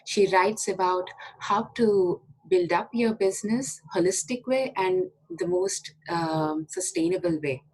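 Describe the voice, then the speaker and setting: A woman's voice: mid-range voice, a little slow, a little mellow, breathy edge, low-quality recording. Polite woman hosting an interview. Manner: polite and welcoming, with short encouraging reactions. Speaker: interview host.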